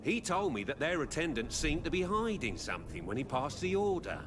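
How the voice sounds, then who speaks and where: Swooping, high-voiced deferential merchant's agent. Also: male voice, big pitch swings, brisk, background noise. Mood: apologetic, evasive. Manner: polite, deferential, evasive. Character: apologetic, evasive male merchant's agent. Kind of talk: scripted game dialogue. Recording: loud music behind the voice.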